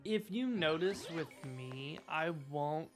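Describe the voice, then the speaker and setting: Slow-talking American man, deliberate. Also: a little high, lively intonation, music in the background. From United States. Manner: deliberate enunciation, frequent "like" fillers. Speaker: streamer host. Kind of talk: casual chat while gaming.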